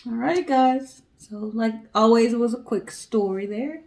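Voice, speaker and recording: A woman's voice: on the high side, unhurried, a little mellow. A woman with an American accent co-hosting a storytelling show, talking casually to viewers and recounting stories. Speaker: co-host of a storytelling guessing show.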